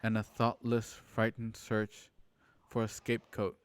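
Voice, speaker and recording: A man playing a grave, solemn narrator of an eerie radio play, speaking deliberately. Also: on the low side, monotone, clear, resonant tone, lightly acted. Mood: grave, warning.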